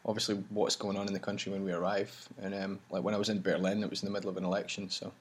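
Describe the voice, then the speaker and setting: Campaign host, male, husky and low, rambling chatty delivery. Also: level tone, very fast. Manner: rambling, chatty, many restarts. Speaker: campaign leader and vlog host. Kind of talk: casual chat. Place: traveling campaign van.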